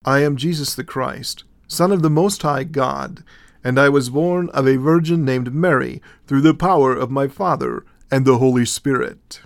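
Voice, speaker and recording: A man voicing a calm, gentle divine figure who speaks in measured, tender tones. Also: mid-range voice, no room sound, clean studio sound, natural voice.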